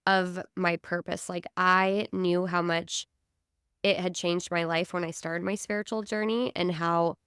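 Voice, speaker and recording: Stuffy-nosed woman, low and smooth. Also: a little slow, no echo, studio quality. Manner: animated storyteller, laughs easily. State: stuffy from a cold. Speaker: podcast co-host. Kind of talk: casual podcast chat.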